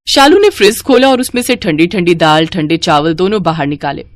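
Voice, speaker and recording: A woman's voice: lowish voice, lively intonation, brisk. A woman storyteller reading scripted narration for a moral tale.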